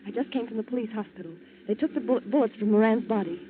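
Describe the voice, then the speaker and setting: Young female sweetheart, played by an actress, earnest and insistent, high voice, dull old radio recording. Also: fast talker, natural voice. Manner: urgent, insistent. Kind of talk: 1940s radio drama acting.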